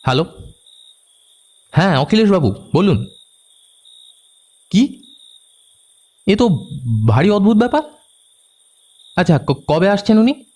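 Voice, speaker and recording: A man playing a brisk, thoughtful detective in an audio story, speaking in a clipped, conversational way. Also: sits high, animated, a little mellow, heavily acted. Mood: curious, surprised. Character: brisk, thoughtful male detective, commanding yet casual. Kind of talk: Bengali audio story acting.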